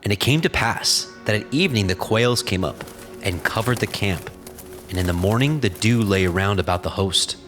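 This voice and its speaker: A man's voice: on the low side, normal speed, a bit bright. A man narrating scripture from a script in a solemn, formal storytelling manner. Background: prominent music.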